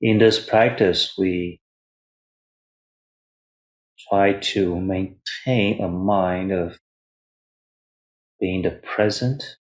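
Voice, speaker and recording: A man guiding meditation as a teacher, speaking in short, measured phrases with long pauses between them. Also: low voice, rough recording. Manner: refers to himself in third person as teacher. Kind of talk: guided meditation instruction.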